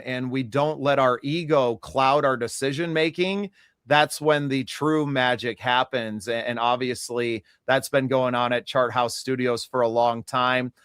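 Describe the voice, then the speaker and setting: A man's voice: mid-range voice, a bit bright, clear, resonant tone, dry recording, clean studio sound. A man from the United States hosting a podcast, talking in an earnest and reflective way during a casual interview. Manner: earnest, reflective, with some restarts. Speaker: podcast host.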